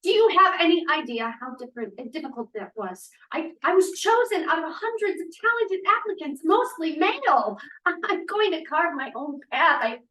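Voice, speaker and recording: Casting: woman as free-spirited, argumentative college feminist, 22, stage drama, highish bright voice. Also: lively intonation, normal speed. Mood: excited, idealistic. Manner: emphatic, argumentative, theatrical. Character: defiant twenty-two-year-old drama student, free-spirited feminist. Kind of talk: dramatic table read of a play. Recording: roomy, echoey, distant microphone.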